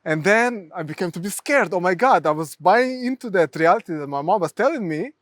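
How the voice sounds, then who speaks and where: A man from Ukraine with a Ukrainian accent, a therapist telling stories from his life as a podcast guest, halting with many fillers. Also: high-pitched, lively intonation, a bit bright, dry recording. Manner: halting, many fillers, non-native phrasing. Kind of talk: storytelling in conversation.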